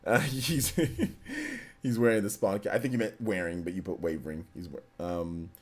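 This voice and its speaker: Laid-back man in his forties, lowish voice. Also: lively intonation, normal speed, husky. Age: 40s. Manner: loose and chatty, many fillers, laughs easily. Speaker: streamer answering chat questions. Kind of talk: casual livestream Q&A.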